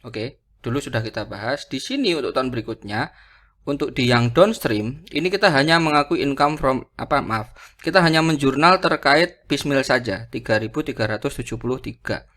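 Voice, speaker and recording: A man's voice: mid-range voice, expressive, fairly quick, a bit bright. A man lecturing through worked calculations, didactic, often asking a question and then answering it himself. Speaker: accounting lecturer.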